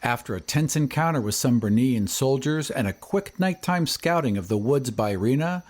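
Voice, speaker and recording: A man's voice: mid-range voice, lively intonation, moderate pace. A man narrating a fantasy recap in a scripted, dramatic storytelling style.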